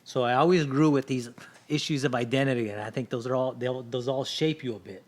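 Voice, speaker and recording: A man's voice: medium pitch, steady tone, fairly quick. A middle-aged man whose first language is Spanish, a guest on a casual podcast, telling his story candidly and often checking in with "right?". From Southern California. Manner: candid storytelling, frequent "right?" tag questions.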